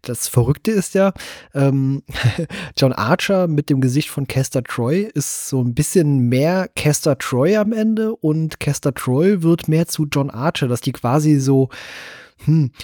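A man's voice: fairly high pitch, a little slow, a little mellow, dry recording, studio quality. A man hosting a casual film discussion, searching a little for his phrasing. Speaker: podcast host.

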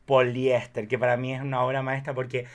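A man's voice: mid-range voice, completely flat delivery, brisk. A man with a Chilean Spanish accent chatting casually about films in a campy, effusive, exclamatory way. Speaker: podcast guest.

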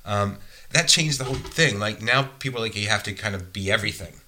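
A man speaks in a very bright, forceful tone. He chats casually and thoughtfully about working with bands in the studio. He often stops to restart his sentences. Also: fairly low pitch, fast, slight background noise. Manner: thoughtful, hesitant, many restarts and fillers. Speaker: music producer. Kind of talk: casual conversation.

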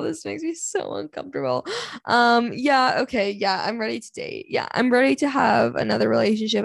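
Woman in her twenties, from Canada, gravelly fry, dry close sound, casual candid rambling vlog talk. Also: a little high, no room sound. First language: English. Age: 20s. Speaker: vlogger answering viewer questions. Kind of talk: casual chat.

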